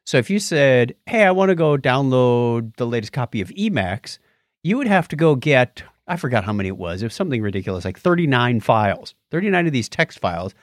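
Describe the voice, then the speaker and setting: A man's voice: medium pitch, big pitch swings, brisk, dry recording, studio quality. A middle-aged man co-hosting a podcast, explaining and retelling things in casual tech chat. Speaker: podcast co-host.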